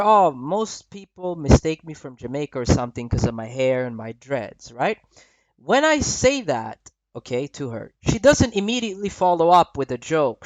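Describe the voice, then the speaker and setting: A man's voice: fairly high pitch, rough recording. A man in his thirties from Sweden, a dating coach giving laid-back voice-over commentary and often checking in with "right?". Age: 30s. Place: voice-over recorded apart from the footage.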